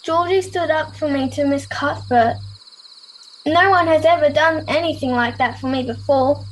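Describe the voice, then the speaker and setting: A woman's voice: sits high, moderate pace, close to an everyday voice. A girl of ten or eleven playing a frightened, remorseful boarding-school pupil in an amateur radio play, plaintive and earnest. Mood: upset, remorseful. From Australia. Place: at home over a video call. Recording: noisy home video-call recording.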